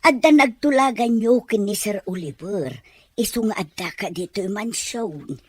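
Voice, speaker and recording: A Filipino woman playing an older, teasing, motherly mansion helper in an audio drama, with a slightly husky voice. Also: mid-range voice, unhurried.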